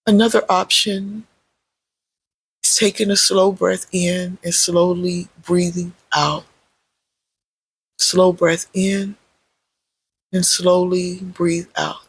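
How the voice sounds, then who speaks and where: A meditation guide speaks very slowly in a creaky, husky voice of middling pitch. The phrases are short and gentle, with space left between them. The sound is dry and close, suited to guided relaxation. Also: fairly even intonation, no room sound, rough recording. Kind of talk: guided meditation, unscripted spoken guidance.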